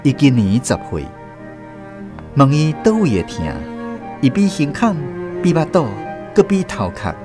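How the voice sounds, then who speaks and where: A man narrating a radio drama as host, telling the story with expressive delivery. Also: a little high, a little slow, long pauses. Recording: music playing under the voice.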